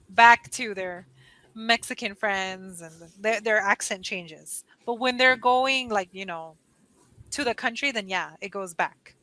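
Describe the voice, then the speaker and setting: A woman's voice: medium pitch, bright, forceful tone, dry recording, poor audio. A woman with a Californian accent, chatting casually on a video call as an online English tutor and explaining patiently. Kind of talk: casual conversation lesson. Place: lives in Costa Rica.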